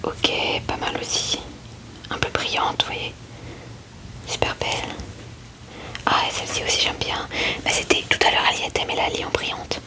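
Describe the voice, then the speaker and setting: A woman whispers breathily and gently into a close microphone, murmuring short informal remarks as ASMR commentary. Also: normal speed, long pauses, rough recording. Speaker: ASMR video creator.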